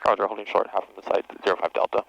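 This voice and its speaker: A man's voice: on the low side, flat delivery, racing, gravelly, fairly bright tone, highs cut off. A man working as a private pilot, making clipped radio calls and readbacks from a small airplane cockpit on a headset. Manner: clipped phraseology. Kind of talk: radio position calls and readbacks to air traffic control. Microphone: aviation headset. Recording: noisy cockpit radio with engine drone.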